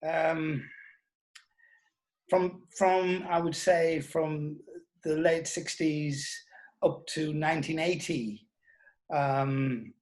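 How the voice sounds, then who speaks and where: A man's voice: on the high side, fairly even intonation, very slow, pauses often, a little mellow, low-quality recording. A British man in his sixties, reminiscing as a guest in an interview. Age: 60s. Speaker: interview guest, former television producer and journalist.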